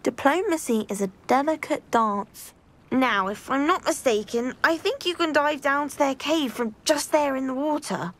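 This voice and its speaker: A woman's voice: high voice, expressive, normal speed, a bit bright. An actress playing an earnest, anxious and effusively polite young woman in a video game. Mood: grateful. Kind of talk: scripted game acting.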